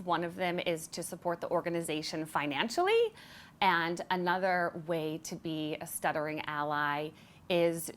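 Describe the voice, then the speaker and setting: Brief: woman who stutters, lowish voice, deliberate testimonial. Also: fairly bright tone. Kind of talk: testimonial interview.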